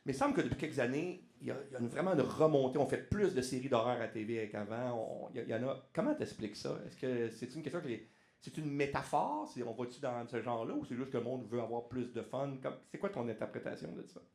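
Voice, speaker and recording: A man's voice: mid-range voice, quick pace, darkish tone, roomy sound. A man with a Québécois French accent hosting a podcast, asking questions in a playful, joking way. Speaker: podcast host. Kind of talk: casual podcast interview.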